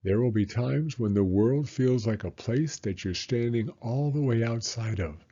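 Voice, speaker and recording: A man reading a children's picture book aloud as a story time host, with expressive, unhurried narration. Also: medium pitch, muffled recording.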